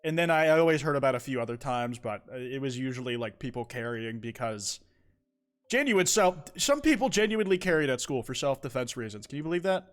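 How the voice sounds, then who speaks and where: A man's voice: medium pitch, very animated, brisk. A young man from the United States chatting casually on a live stream, reading viewer confessions aloud, rambling and swearing with many restarts.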